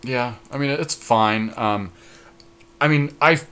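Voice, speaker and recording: A man's voice: mid-range voice, takes it slowly, bright, forceful tone, clean tone. A man co-hosting a podcast, chatting casually and analytically as he thinks aloud through a card-game draft. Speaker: podcast co-host.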